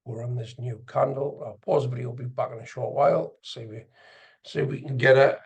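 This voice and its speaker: A man giving casual live commentary as an instructor, gravelly and husky, sometimes muttering to himself as he explains. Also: medium pitch, a little fast, dull audio. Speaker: trading instructor and video host. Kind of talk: casual live screen-share commentary on chart trading.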